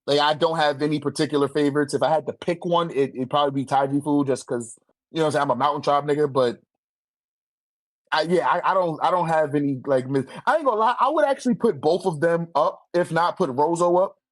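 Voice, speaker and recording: A man's voice: on the high side, quick pace, no echo. A man in a casual debate, speaking informally with heavy slang and swearing. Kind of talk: casual debate about manga character rankings.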